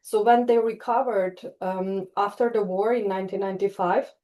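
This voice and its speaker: A woman's voice: medium pitch, fairly even intonation, moderate pace, mellow. A woman from Istria in Croatia giving an online webinar talk as a tourism researcher, speaking over a video call and hesitating often with fillers and restarts. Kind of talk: online presentation.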